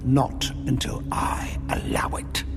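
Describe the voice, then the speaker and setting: A man acting in an audio drama as a cold, imperious alien sorcerer, speaking with measured, formal contempt. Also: whispered, close to an everyday voice. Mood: quietly menacing. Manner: haughty, measured, formal speech. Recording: long reverb with music behind.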